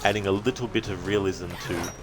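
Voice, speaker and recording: A man giving casual, reflective livestream commentary as a game streamer, speaking haltingly in short phrases. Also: lowish voice, steady tone, background noise.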